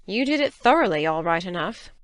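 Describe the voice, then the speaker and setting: A woman playing a stern, plain-spoken older guardian, brisk and severe, in an audiobook drama. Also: fairly low pitch, very animated. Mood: dry. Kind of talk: full-cast audiobook acting.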